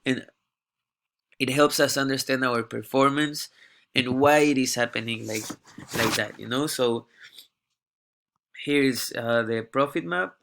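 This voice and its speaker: A young male student talks through a class presentation while sick and coughing. He speaks in a halting way and often repeats a word before pressing on. His voice sits at an ordinary middle pitch. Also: gravelly, fairly bright tone, slight background noise. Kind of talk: class presentation walking through a data dashboard.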